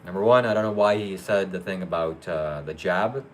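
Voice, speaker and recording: A man hosting a casual live Q&A, reading viewer questions aloud and answering them briefly in a matter-of-fact, low-key way. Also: moderate pace, slight background noise. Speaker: livestream host.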